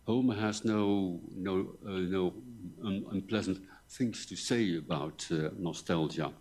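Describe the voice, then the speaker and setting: Older man with a low, soft voice. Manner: halting, careful, with repeated words and pauses. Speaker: visiting academic lecturer. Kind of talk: conference lecture in English. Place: university lecture hall. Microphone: table microphone, seated.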